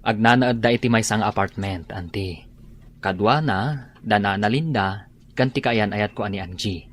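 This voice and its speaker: An anxious, deferential nephew played by a man in an Ilocano radio drama. His voice is on the low side, with an Ilocano accent and faint ambient noise behind. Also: normal speed, natural voice. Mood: uneasy. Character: anxious, respectful nephew reluctantly informing his aunt.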